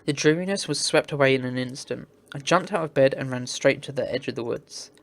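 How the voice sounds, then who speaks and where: A man's voice: mid-range voice, a little fast, gravelly. A man reading visual novel text aloud in an earnest, theatrical way as a game playthrough host. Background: game music.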